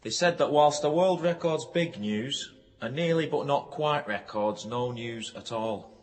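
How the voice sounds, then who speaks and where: A man's voice: medium pitch, animated, unhurried, long reverb tail, natural voice. An actor playing a matter-of-fact local man in a radio play. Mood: flat, resigned.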